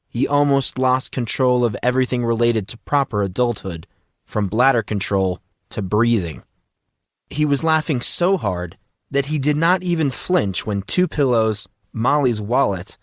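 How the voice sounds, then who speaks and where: A man narrates a work of fiction in a dramatized storytelling style. His voice sits at an average male pitch. The recording is dry, with very little room sound. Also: no echo. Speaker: audiobook narrator.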